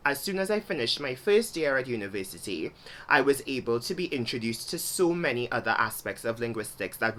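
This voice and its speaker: A man's voice: medium pitch, pushed and bright. A young man with a Trinidadian accent, from Trinidad and Tobago, explaining his thoughts in a solo vlog in a thoughtful, explanatory way. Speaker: vlogger. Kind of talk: solo talk-to-camera vlog.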